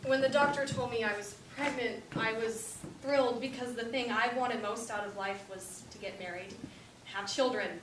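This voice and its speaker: Actress as middle-aged birth mother, earnest, regretful, stage monologue, echoey room, clear background noise. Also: on the high side, fairly even intonation, moderate pace, lightly acted. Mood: wistful, earnest. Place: indoor stage, mic far off. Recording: roomy, distant stage recording with hiss.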